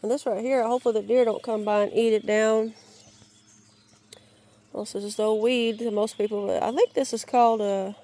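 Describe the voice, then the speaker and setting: A woman with a raspy, crackly voice from a lingering cold, giving a halting, rambling tour of her wooded yard, searching for words and restarting often. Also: a little high, fairly even intonation, warm tone. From Georgia, US. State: raspy, crackly voice while getting over a cold. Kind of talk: casual rambling walk-and-talk. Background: birds and outdoor ambience.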